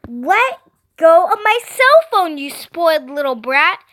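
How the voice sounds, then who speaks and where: A woman's voice: high-pitched, animated, slow pace, fairly bright tone, exaggerated voice. A woman voicing an exasperated young big sister in a storybook, melodramatic and indignant. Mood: furious.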